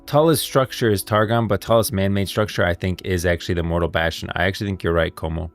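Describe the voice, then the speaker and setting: Male streamer, low and creaky voice, enthusiastic, emphatic, off-the-cuff lore commentary. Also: steady tone, quick pace. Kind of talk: casual livestream game lore commentary. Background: music.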